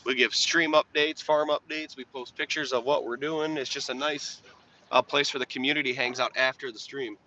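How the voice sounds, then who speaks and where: A young man with a slightly raspy voice, chatting casually on a live stream while plowing snow and answering viewers' questions. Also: mid-range voice, steady tone, normal speed, bright, forceful tone. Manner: laid-back, rambling, reads chat aloud. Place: inside a truck while plowing. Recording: dull lo-fi stream audio with faint engine noise.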